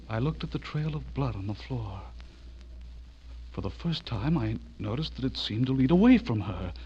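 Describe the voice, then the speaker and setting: A man's voice: medium pitch, slightly rough, full character voice. An actor playing a frightened, unravelling man who narrates his own horror tale in melodramatic 1940s radio style. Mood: fearful, dawning horror. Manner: melodramatic, haunted, swinging from hushed to frantic. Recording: dull old radio recording under loud music.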